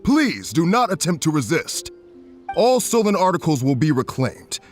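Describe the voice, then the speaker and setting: An official law officer in a video game. His delivery is formal and authoritative, slightly high and very inflected, over a music bed. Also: sing-song, moderate pace. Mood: stern. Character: stern, official commission officer making arrests. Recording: music under the voice.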